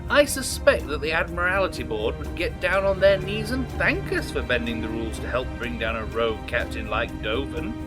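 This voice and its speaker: An actor playing a disgraced starship officer in an audio drama, slyly persuasive, polite and insinuating. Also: male voice, medium pitch, very animated, normal speed, few pauses, loud music behind the voice, lightly acted. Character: smooth-talking, scheming male disgraced officer, slyly persuasive. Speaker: starship officer, former captain. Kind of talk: science fiction audio drama acting.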